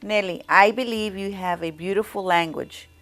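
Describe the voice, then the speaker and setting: A woman's voice: mid-range voice, normal speed, fairly bright tone, soft background music. A woman student interviewing a classmate, politely asking questions and restarting them midway.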